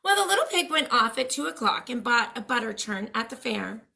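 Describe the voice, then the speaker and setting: A woman storyteller reading a children's picture book aloud in an expressive, dramatic way, addressing young listeners. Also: fairly high pitch, brisk, creaky, a little hiss or hum. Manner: dramatic, asks the listeners questions.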